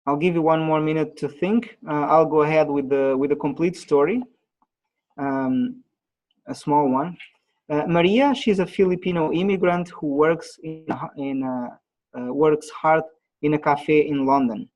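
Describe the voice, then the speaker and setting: A man presenting an online webinar on pitching, pausing often with fillers and restarts, heard over a video call. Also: a little mellow. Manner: halting, many fillers and restarts.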